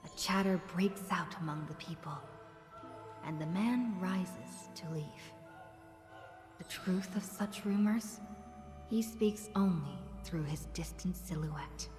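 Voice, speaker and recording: A woman playing a solemn storyteller in a game, narrating a hero's legend in a measured, grave, theatrical manner. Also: on the low side. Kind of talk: scripted dramatic narration. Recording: echoey hall reverb, loud music behind.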